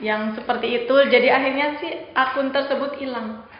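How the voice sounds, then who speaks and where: A woman's voice: high-pitched, fairly even intonation, normal speed. Young woman from Brebes with ngapak accent; chatty, deferential guest; echoey room, faint hiss. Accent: Banyumasan (ngapak) Javanese. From Brebes, Central Java. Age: young adult. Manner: chatty, calls the host "Bu" politely. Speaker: guest, TikTok creator. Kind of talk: casual interview chat. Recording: roomy, slightly dull podcast audio with faint hiss.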